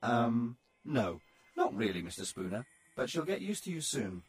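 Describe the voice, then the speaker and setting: Guy doing a giggly robot dude, real raspy, voice bouncing up and down. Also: mid-range voice, big pitch swings, moderate pace, pitched below the performer's own voice. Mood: matter-of-fact. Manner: prone to fits of laughter, polite. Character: giggly male android, easily amused.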